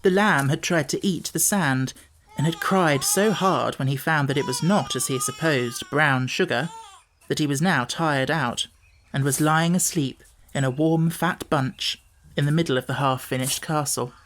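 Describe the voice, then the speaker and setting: A man's voice: on the high side, moderate pace, fairly bright tone, slight background noise. A man reading a children's audiobook as scripted storybook narration.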